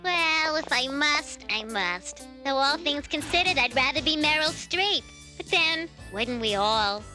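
Slow, high-pitched sassy receptionist. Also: female voice, exaggerated voice. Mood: blasé. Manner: deadpan, drawling, mock-grand. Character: sassy, theatrical female receptionist, blasé and stubborn. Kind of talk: cartoon voice acting. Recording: music behind the voice.

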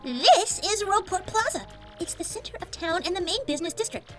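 A woman voicing a perky, excitable young female Goomba student in a video game, talking in sassy valley-girl slang. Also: very high-pitched, a little fast. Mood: helpful. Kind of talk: video game dialogue voice acting. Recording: game music behind the voice.

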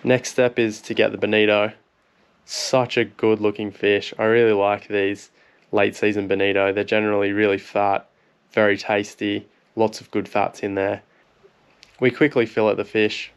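A man in his thirties with an Australian accent, a fishing vlogger calmly explaining how to prepare fish in a voice-over. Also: lowish voice, normal speed, smooth. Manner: relaxed, plain explaining. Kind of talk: instructional cooking voice-over.

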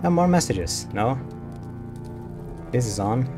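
A man's voice: medium pitch, animated, slow pace. A man doing casual live gameplay commentary, muttering brief reactions to himself. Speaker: game streamer. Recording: game music behind voice.

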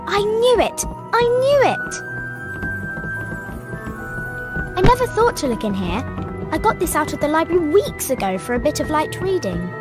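High-voiced bookish schoolgirl witch. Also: sing-song, brisk, music in the background. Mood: excited, impatient. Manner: precise, know-it-all. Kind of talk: video game voice acting.

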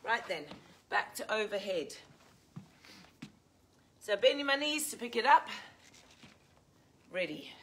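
A woman's voice: medium pitch, animated, slow pace, pauses often, a bit bright. A woman in her forties with an English accent, leading a live workout and counting reps while she exercises. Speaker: fitness instructor. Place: large indoor gym. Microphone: fixed camera a few metres off.

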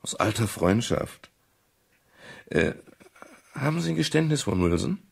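A man playing a polite but persistent, weary private detective in a 1970s German radio crime drama, with a husky, gravelly voice. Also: mid-range voice, big pitch swings, measured pace, heavily acted. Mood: dry, probing. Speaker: actor, lead and narrator.

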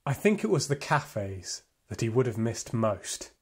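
A man's voice: medium pitch, very animated, darkish tone. An actor playing a nostalgic, proud and wry Austrian football fan, telling his story theatrically in an audio play. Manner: theatrical, emphatic, with weighty pauses. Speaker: narrator. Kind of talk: dramatic first-person storytelling in an audio play. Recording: music and crowd noise behind.

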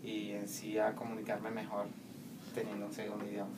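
A man's voice: lowish voice, monotone, brisk. A young man answering interview questions steadily, filmed in a small classroom from a camera across the room. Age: young adult. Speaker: student interviewee. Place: small classroom with plain walls. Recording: distant mic, clear room noise.

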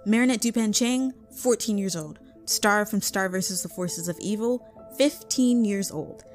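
A woman's voice: mid-range voice, normal speed. A woman reading scripted narration as the narrator of a video essay. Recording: background music under voice. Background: music.

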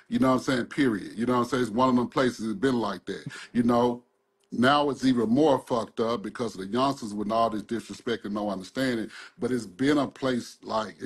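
A man's voice: medium pitch, husky. A man from Memphis talking in a laid-back way, often saying "you know what I'm saying", as a podcast guest on a video call. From Memphis, Tennessee. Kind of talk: casual podcast talk.

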